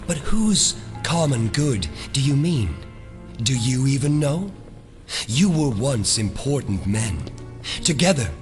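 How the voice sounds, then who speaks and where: An actor playing a cold, vengeful mastermind back from victimhood, speaking in deliberate, theatrical oratory in an audio drama. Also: male voice, mid-range voice, lightly acted. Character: vengeful resurrected former victim, cold menacing male mastermind.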